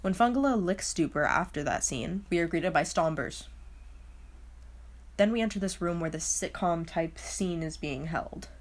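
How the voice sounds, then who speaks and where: A woman's voice: fairly low pitch, animated, normal speed. A woman narrating a casual scripted recap as a video host, with a wry, openly baffled manner.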